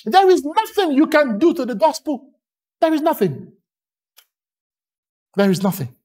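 Lively Nigerian man preaching. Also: very high-pitched, measured pace. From Lagos, Nigeria. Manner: emphatic, rhythmic repetition and long lists. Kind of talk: sermon.

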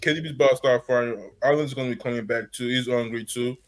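Male panelist, middle pitch, blunt casual delivery. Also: steady tone, fast. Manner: blunt, casual slang, laughs mid-sentence. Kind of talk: casual football chat.

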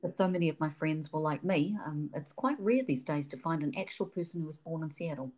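A woman's voice: low voice, a little fast, darkish tone. A woman from New Zealand with a New Zealand accent, hosting an interview conversation and asking questions in an effusive, enthusiastic way. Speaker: podcast host.

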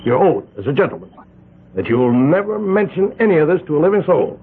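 An actor playing a domineering wealthy mill owner who leads a vigilante group, in a 1970s radio drama, with a husky, gravelly voice. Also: male voice, a little high, big pitch swings, fairly quick, exaggerated voice. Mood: pleading. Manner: blustering, swings from soft to loud. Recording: narrow-band old radio broadcast.

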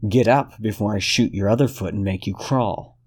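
A man voicing a cold, controlled detective who speaks in a measured, menacing way. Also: on the low side, darkish tone, a little hiss or hum, close to an everyday voice.

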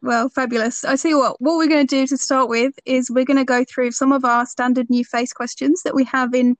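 A woman's voice: sits high, fairly even intonation, brisk, no echo. A woman interviewing a new model in a friendly, encouraging way. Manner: upbeat, encouraging. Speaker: magazine interviewer.

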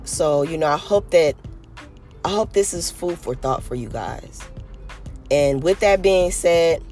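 A podcast host from California, a little hoarse and raspy today, giving an earnest, rambling motivational monologue full of "you know" fillers. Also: medium pitch, expressive, a little slow, a little mellow, soft background music.

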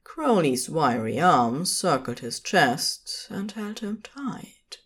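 An audiobook-style narrator of fan fiction with an intimate storytelling delivery. The pace is very slow and deliberate, and the pitch moves in a lively way through each sentence. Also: husky.

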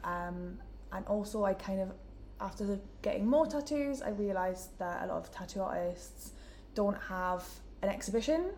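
A woman's voice: mid-range voice, lively intonation, moderate pace, a little mellow, slight background noise. A young woman from Newcastle with a Geordie accent, chatting casually as an interview guest in a room, with lots of "like" and "um". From Newcastle, England. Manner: chatty, many fillers like "like" and "um". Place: indoor room, in person.

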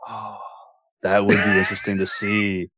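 An American man co-hosting a sports podcast in casual chat, agreeable and a bit halting, often repeating himself. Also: on the low side, monotone, sharp tone, husky, dry recording, clean studio sound.

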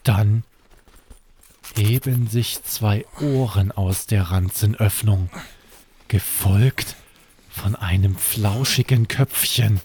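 A man hosting and narrating a scripted children's bedtime story, measured and suspenseful, with deliberate pauses. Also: fairly low pitch, darkish tone, a little hiss or hum. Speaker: podcast host and storyteller.

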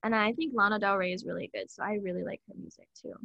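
A woman's voice: medium pitch, fast, vocal fry, pushed and bright, no room sound, lo-fi sound. A young woman from Hawaii co-hosting a podcast in casual chat, hesitant and searching for words, then gushing. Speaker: podcast co-host.

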